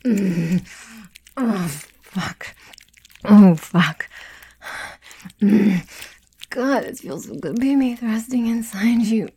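A woman whispering intimately in an erotic audio style, breathy and sultry, with slow murmurs and moans. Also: medium pitch, mellow, no echo.

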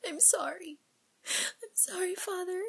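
A woman playing a nervous young woman confessing to a priest, speaking in a breathy whisper with halting, tearful, pleading phrases. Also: extremely high pitch, a bit bright, full character voice. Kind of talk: whispered audio roleplay monologue.

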